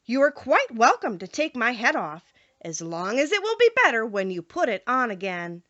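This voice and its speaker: Storybook scarecrow played by a woman: cheerful, earnest, eager, high and bright. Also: big pitch swings, normal speed, muffled recording.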